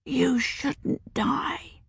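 A woman voicing a calm, serious young girl who speaks softly, mostly in hushed tones, in an audiobook reading. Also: high voice, takes it slowly, vocal fry, dry recording, voice pushed up, heavily acted.

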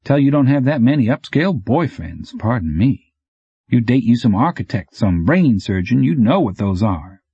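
Guy doing a brash street bike messenger for an audiobook, slangy n needling, voice pushed up high, kinda dull recording. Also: big pitch swings, normal speed, mellow, slightly rough, dry recording, full character voice. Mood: teasing. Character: brash, teasing, street-smart male bike courier. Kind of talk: audiobook character dialogue.

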